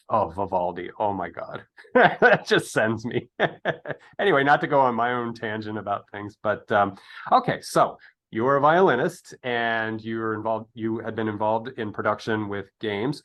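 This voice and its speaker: Low-pitched man hosting a casual interview. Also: fairly bright tone. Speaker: interviewer, podcast host.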